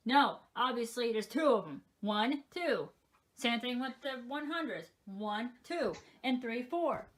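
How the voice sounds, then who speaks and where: A woman's voice: a little high, expressive, unhurried. A young woman chatting casually as she shows off and counts her collection of weather radios. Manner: chatty, counting items aloud. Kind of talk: casual show-and-tell vlog.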